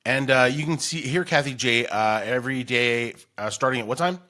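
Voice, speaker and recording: A man's voice: mid-range voice, normal speed, sharp tone. A middle-aged American man hosting a podcast, chatting casually in a rambling, conversational storytelling way. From Denver, United States. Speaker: podcast host. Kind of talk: casual chat.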